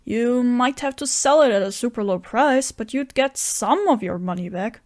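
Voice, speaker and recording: A woman plays an earnest friend with a scheme, narrating an audio drama. The voice is fairly high and recorded close and dry, without room sound. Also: lively intonation, normal speed, a little mellow, no room sound, natural voice. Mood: consoling. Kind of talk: audio drama dialogue and first-person narration.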